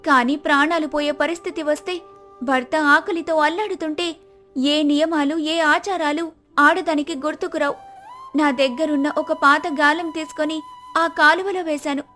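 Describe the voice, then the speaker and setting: A woman voicing a devoted, gentle but determined village wife in a moral story, with an earnest, pleading manner. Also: sits high, pitched above the performer's own voice. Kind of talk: acted moral-story dialogue.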